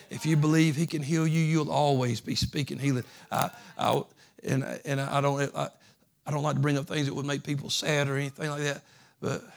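A man's voice: a little high, vocal fry. Fervent preaching man, rising voice. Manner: builds from soft conversational to loud fervent preaching; folksy, repeats phrases. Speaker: pastor. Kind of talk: sermon.

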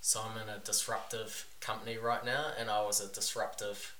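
A man's voice: lowish voice, flat delivery, fairly quick, bright, forceful tone. A man from New Zealand with a New Zealand accent, a podcast guest and business owner talking in a frank, laid-back way. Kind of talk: casual interview chat. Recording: roomy echo, distant mic, faint hiss.

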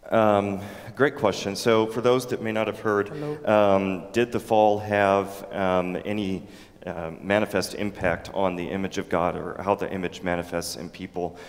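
A man with a very low voice teaches a theology class to a live audience. He speaks in a halting way, full of ums and restarts. The sound carries a long reverb, as in a large hall. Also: steady tone. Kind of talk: theology lecture with audience questions.

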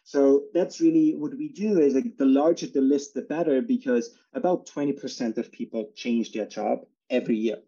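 Dark-voiced man from Austria. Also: mid-range voice, normal speed, hardly any pauses, breathy edge, muffled recording. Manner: relaxed, filler-heavy explaining. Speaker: company CEO, guest. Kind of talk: casual interview talk.

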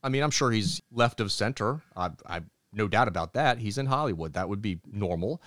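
Animated, opinionated male podcast co-host. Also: lowish voice, fairly quick. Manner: animated opinionated talker, acts out quotes. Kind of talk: casual podcast discussion.

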